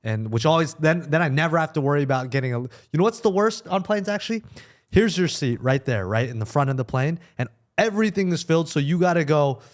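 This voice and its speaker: A man in a relaxed group podcast conversation, talking casually and colloquially. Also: a little high, quick pace. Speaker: podcast participant. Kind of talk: casual chat.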